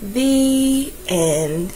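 A woman reads a children's picture book aloud, slowly and soothingly. Her fairly high voice rises and falls a lot as she brings the story to life. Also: rough recording. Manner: gentle, expressive, slow and soothing.

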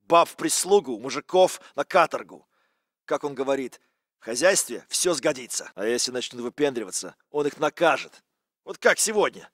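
An actor playing an aggressive Russian soldier in a military shooter game, barking urgent threats with a Russian accent. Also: male voice, mid-range voice, animated, normal speed, no room sound, clean studio sound, close to an everyday voice. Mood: gruff talk. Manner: barked, urgent, menacing.

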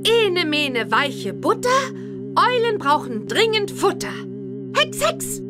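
A woman's voice: extremely high pitch, big pitch swings, takes it slowly, over loud music, exaggerated voice. A woman voicing a perky, eager young witch schoolgirl in a children's computer game, bouncy and exclamatory. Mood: incantatory.

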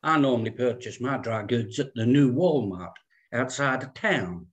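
A man's voice: medium pitch, expressive, normal speed, natural voice. A man voicing a snobbish, fussy fifty-year-old small-town society woman in a script read, with a condescending, genteel delivery. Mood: smugly superior. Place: indoor room at home, on a video call.

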